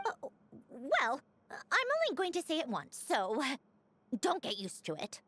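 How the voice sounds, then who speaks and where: A woman's voice: sits high, very animated, a little slow, a bit bright, no echo, heavily acted. A woman voicing a proud, easily flustered young woman in a video game, speaking in a haughty, theatrical way with grudging fondness. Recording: game dialogue with music behind.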